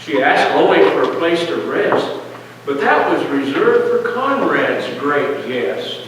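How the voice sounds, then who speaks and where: A man reading a narrative poem aloud like a storyteller at a church service, deliberate and pausing between short phrases. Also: a little high, big pitch swings. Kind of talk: dramatic poetry reading, storytelling. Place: large church hall, heard from a distance. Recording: distant, echoey church hall, faint noise.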